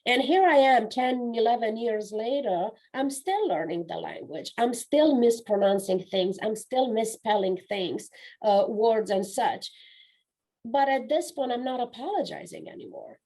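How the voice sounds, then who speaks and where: A woman's voice: fairly high pitch, very animated, unhurried, a little mellow, rough recording. A woman in her forties with a Romanian accent, a non-native English speaker, talking earnestly and reflectively in a conversational interview, often restarting her sentences. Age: 40s. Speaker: guest speaker and coach.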